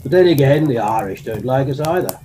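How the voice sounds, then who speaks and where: A man's voice: mid-range voice, expressive, brisk, noisy background, close to an everyday voice. Man playing a blustering posh English colonel. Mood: chatty, amused. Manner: blustering, stammering, chuckling old-fashioned upper-class turns of phrase. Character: posh, bumbling, jolly old-school British army colonel. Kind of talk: comic audio drama acting.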